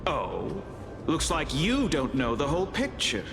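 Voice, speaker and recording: Slow, grandiose master alchemist. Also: male voice, on the high side, huge pitch swings, vocal fry, heavily acted. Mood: proud, solemn. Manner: grandiose, formal, deliberate. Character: proud fallen master alchemist, solemn and driven. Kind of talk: dramatic game voice acting. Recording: music behind the voice. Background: music.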